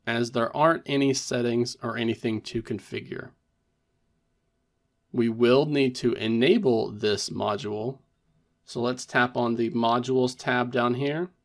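A man presenting a tech tutorial in a methodical, step-by-step instructional narration. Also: mid-range voice.

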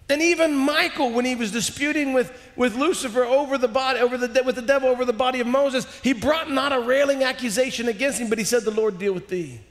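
A man in his forties preaching a sermon in a church on a headset mic, impassioned, rising to shouts and dropping low and quiet. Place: large church sanctuary, indoors. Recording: long hall reverb.

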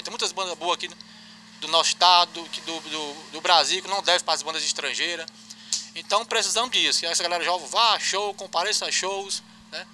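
Bright-voiced man in his forties, chatty. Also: sits high, slight background noise. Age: 40s. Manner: relaxed, chatty, frequent tag questions. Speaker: interviewed event promoter. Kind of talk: casual interview. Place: small indoor record shop. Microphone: handheld mic held by interviewer.

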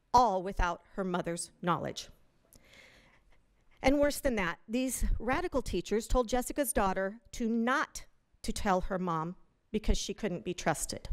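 Brief: woman commenter, mid-pitched, indignant reading at a podium mic in a big hall. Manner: indignant, reading from prepared text. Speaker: public commenter. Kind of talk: public comment reading news stories aloud. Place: large hotel meeting room.

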